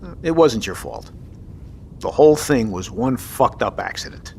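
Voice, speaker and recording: An actor playing a decisive, gruff navy submarine captain in an audio drama, with commanding, clipped, profane delivery. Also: male voice, mid-range voice, animated, normal speed, dark tone, a little hiss or hum, lightly acted. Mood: calm, firm. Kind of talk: scripted audio drama acting.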